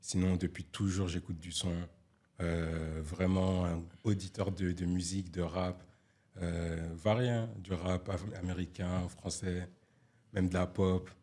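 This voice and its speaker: A man's voice: low-pitched, flat delivery, a little slow, mellow. A twenty-three-year-old man, a guest and music producer, chatting in a laid-back, slangy way. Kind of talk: casual chat.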